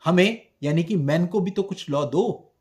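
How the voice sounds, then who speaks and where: Higher-pitched man, emphatic legal commentator. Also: unhurried, a little mellow. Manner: emphatic, rhetorical questions, Hindi mixed with English terms. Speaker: host of a legal issues video channel. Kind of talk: explanatory commentary to camera on a legal case.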